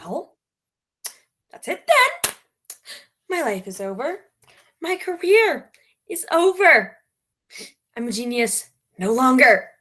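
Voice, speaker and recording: Woman as female radio drama announcer, forceful bright voice, fairly high, earnest, melodramatic. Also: sing-song, takes it slowly, long pauses, exaggerated voice. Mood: despairing. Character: earnest, melodramatic self-styled girl genius radio announcer. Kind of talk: melodramatic old-time radio play narration.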